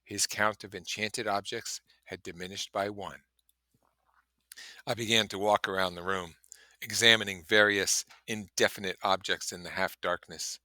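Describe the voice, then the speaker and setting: A man with a fairly low, very bright voice reads a classic story aloud. He is expressive and dramatic, and explains things casually, in a dry, close recording. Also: normal speed, no room sound. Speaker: reader and commentator. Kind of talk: expressive audiobook-style reading with casual asides.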